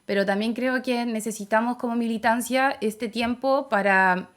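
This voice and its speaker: A woman's voice: a little high, moderate pace. A young adult woman with a Chilean accent, giving reflective opinions in a conversational interview. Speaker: interview guest, political activist.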